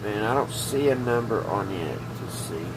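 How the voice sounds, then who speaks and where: Rambling man over clearly noisy background. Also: lowish voice, normal speed. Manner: rambling, hesitant, thinking aloud. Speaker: hobbyist video host. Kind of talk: casual workbench vlog about old radios. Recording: clearly noisy room, steady hum.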